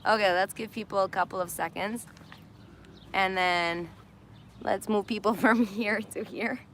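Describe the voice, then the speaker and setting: A woman's voice: mid-range voice, fairly even intonation, a little slow, a bit bright, slight background noise. A woman hosting a casual live stream, laughing often and losing her voice. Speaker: host of an Instagram live. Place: indoors in Armenia.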